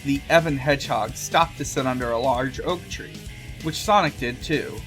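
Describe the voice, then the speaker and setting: A woman's voice: extremely low pitch, normal speed, faint music behind. A woman co-hosting a podcast, chatting casually and reading fan fiction aloud. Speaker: podcast co-host.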